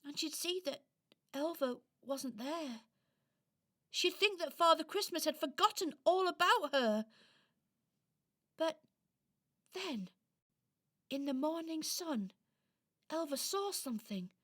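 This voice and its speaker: Slow, high-voiced woman storyteller. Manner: dramatic pauses, expressive storytelling. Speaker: narrator. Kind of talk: scripted children's storytelling for radio play.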